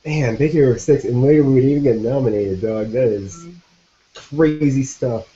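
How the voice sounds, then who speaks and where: A laid-back man from Virginia who talks in easy slang on a live stream. His voice is mellow and warm, at an ordinary pitch. The audio is somewhat dull, like an online call. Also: moderate pace. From Virginia, USA. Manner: laid-back slangy talk, says "super duper" and "dog". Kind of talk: casual live-stream chat.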